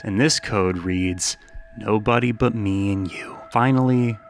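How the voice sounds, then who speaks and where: A man with a fairly low voice narrates a scripted analysis like a storyteller. He is expressive yet casual in his phrasing. A faint layer of ambient noise sits behind him. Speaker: video essayist and narrator.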